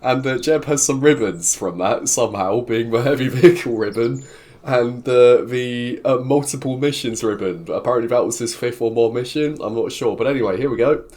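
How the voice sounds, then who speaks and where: A man's voice: mid-range voice, normal speed, a little mellow. A man with a cold, hosting a video game series in a cheerful, chatty, rambling way while he builds and narrates. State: congested from a cold. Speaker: gaming video host. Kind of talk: casual gameplay commentary.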